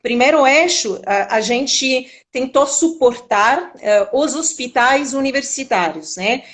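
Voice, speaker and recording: A woman's voice: high-pitched, expressive, takes it slowly. A woman from Italy with an Italian accent, a union vice-president and professor, speaking formally with frequent hesitations in a live video call. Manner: hesitant, many filler sounds, formal wording. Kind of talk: live-stream conversation.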